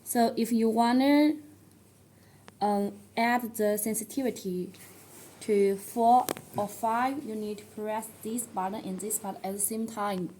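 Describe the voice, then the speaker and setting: A woman who speaks English as a second language, presenting a product tutorial in a halting, step-by-step way. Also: a little high, mellow, slight background noise. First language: not English. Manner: halting, step-by-step delivery with non-native phrasing.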